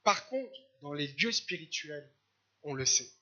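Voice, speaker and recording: A man from Algeria preaching a church sermon in short phrases, pausing for an interpreter. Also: a little high, lively intonation, bright, forceful tone, lo-fi sound. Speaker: guest preacher. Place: church service.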